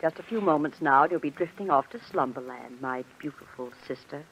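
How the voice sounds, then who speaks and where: An actress playing a jealous young woman, sharp and commanding, secretly scheming, in old-time radio drama style. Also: low voice, fairly quick. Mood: coaxing, controlling. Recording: muffled, noisy vintage radio recording.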